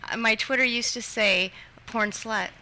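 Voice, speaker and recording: A woman's voice: a little high, steady tone, measured pace, sharp tone, a little hiss or hum. A woman guest in a casual panel chat, earnestly explaining her view.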